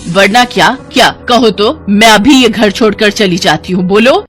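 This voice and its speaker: A woman's voice: mid-range voice, unhurried, natural voice. A woman voicing a jealous, harsh stepmother in a cartoon, speaking with scorn. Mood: defiant. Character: jealous scheming stepmother, middle-aged woman. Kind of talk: Hindi cartoon voice acting. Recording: music playing behind.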